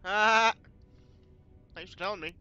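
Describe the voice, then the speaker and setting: A man's voice: high-pitched, unhurried, lo-fi sound, over loud music. A man giving casual gameplay commentary, muttering to himself as he plays. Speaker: gamer, let's player.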